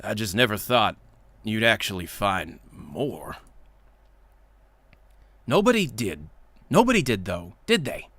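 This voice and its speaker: A man voicing a folksy, weary, reproachful male engineer with measured pauses. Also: lowish voice, sing-song, fairly bright tone, dry recording, exaggerated voice.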